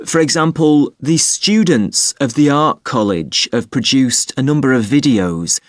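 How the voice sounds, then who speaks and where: Male actor as patient festival information clerk, polite, unhurried delivery, very dry studio sound. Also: medium pitch, animated, dry recording, natural voice. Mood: helpful, polite. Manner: measured, helpful, spells words out slowly. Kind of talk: acted listening-test dialogue, clearly enunciated.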